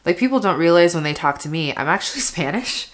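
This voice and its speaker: Young woman, low voice, casual makeup-vlog chatter, filler-heavy, close camera at home. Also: expressive, quick pace, fairly bright tone. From family from Panama, raised in America. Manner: rambling, lots of "like" fillers. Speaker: beauty vlogger. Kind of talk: casual get-ready-with-me chat while doing makeup. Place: bedroom at home, indoors.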